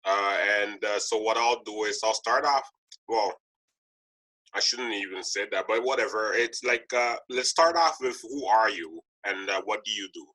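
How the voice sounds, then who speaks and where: A man hosting a podcast interview in casual chat, with a hesitant, halting, repetitive way of talking. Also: fairly low pitch, sharp tone, poor audio. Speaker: podcast host interviewing a guest.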